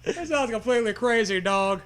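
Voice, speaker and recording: A man's voice: extremely high pitch, moderate pace, slight background noise. A man reading a silly adventure story aloud, playfully dramatizing it and trading casual banter. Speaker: co-host reading aloud a choose-your-own-adventure parody.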